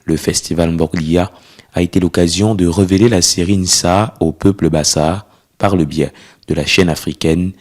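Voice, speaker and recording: A deep-voiced man reads a closing voice-over in a formal, deliberate manner. The reading sounds prepared and steady. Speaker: narrator. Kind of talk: scripted voice-over.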